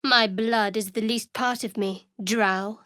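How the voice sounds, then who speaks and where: An actress playing a guarded, devout young woman cleric of a dark goddess in a fantasy video game, with a measured, wry delivery. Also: on the high side, fairly even intonation, no echo, clean studio sound. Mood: cool, measured.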